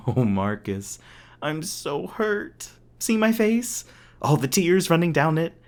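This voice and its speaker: A man's voice: a little high, big pitch swings, takes it slowly, darkish tone. A man playing a smug, sadistic corporate villain in an audio roleplay, taunting with sarcastic, mock-polite manners. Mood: mock hurt. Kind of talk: ASMR audio roleplay acting.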